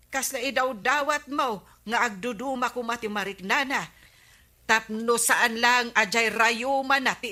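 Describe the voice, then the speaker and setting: A woman playing a sharp-tongued, suspicious village wife in an Ilocano radio comedy drama, with an Ilocano accent and pointed, indignant questioning. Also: fairly high pitch.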